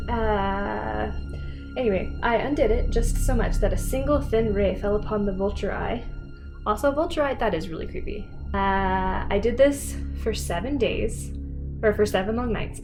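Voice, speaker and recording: A woman's voice: mid-range voice, poor audio. A woman host doing a semi-dramatic read-aloud of a story, wry and informal, breaking off for casual asides.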